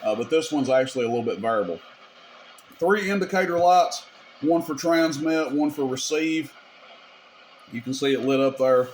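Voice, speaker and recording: A man with an average-pitched voice walks through a piece of gear like a video host. His delivery is informal and folksy, explaining each step casually as he goes. The camera microphone picks up clear noise and ambience behind him. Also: moderate pace, long pauses. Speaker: radio repair video host demonstrating gear. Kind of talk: casual hands-on product demonstration.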